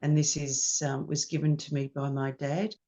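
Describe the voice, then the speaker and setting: A woman's voice: deep voice, level tone, moderate pace, mellow. Chatty woman. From New Zealand. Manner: chatty, friendly, loose with many ums. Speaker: ukulele teacher and performer, guest. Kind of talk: casual interview chat. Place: her home music studio. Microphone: video call.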